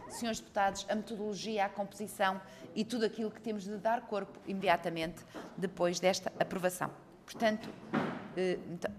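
Woman, northern portuguese accent, committee chair, brisk formal procedure, faint background noise, slightly echoey room. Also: mid-range voice. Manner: brisk, procedural, reads out agenda items. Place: parliamentary committee room with some echo.

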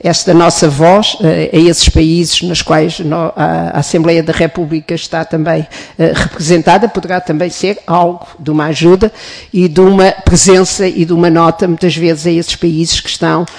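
Member of parliament, woman, European Portuguese accent, formal committee remarks, halting and filler-heavy delivery. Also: on the low side, little pitch movement, creaky, a little mellow. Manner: hesitant, many fillers and restarts.

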